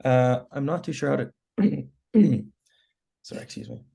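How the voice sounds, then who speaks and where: A hoarse man, losing his voice after a cold, walking colleagues through editing web pages in a relaxed, explanatory way on a video call. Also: medium pitch, measured pace. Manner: relaxed, explanatory, clears throat often. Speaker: web team member giving training. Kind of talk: screen-share walkthrough on a work call.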